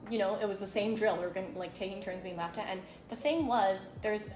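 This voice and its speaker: A woman's voice: medium pitch, fast, darkish tone, roomy sound, a little hiss or hum. A woman chatting casually and thoughtfully as a co-host, with many fillers. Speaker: co-host of a beauty channel talk. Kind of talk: casual chat.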